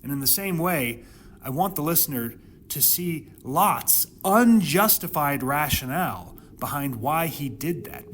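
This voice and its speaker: A man hosting a Bible podcast, delivering dramatic scripted commentary and scripture reading with emphatic repetition, rhetorical questions and deliberate pauses. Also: mid-range voice, fairly bright tone, a little hiss or hum. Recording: music playing under the voice.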